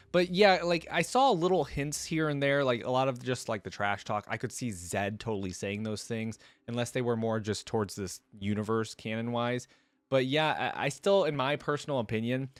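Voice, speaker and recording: A man's voice: mid-range voice, no room sound. A man giving casual, informal reaction commentary, often putting questions straight to his viewers. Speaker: reaction video host.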